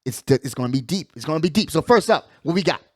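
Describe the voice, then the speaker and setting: A man hosting a casual sports talk show, giving animated, slangy commentary and repeating phrases for emphasis. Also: fairly high pitch, fast talker, dry recording. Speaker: sports podcast host.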